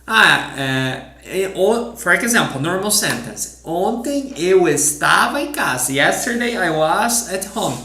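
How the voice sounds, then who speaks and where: Man, Brazilian Portuguese accent, language teacher, fairly high voice, echoey room. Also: sing-song, pushed and bright. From southern Brazil. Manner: repeats phrases slowly, laughs often. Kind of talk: teaching with examples.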